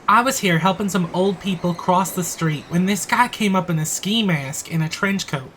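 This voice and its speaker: A woman's voice: lowish voice, moderate pace, fairly bright tone, a little hiss or hum, lightly acted. A woman playing a sensible, exasperated crossing guard in a comic audio play, with dry, sarcastic delivery. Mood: earnest.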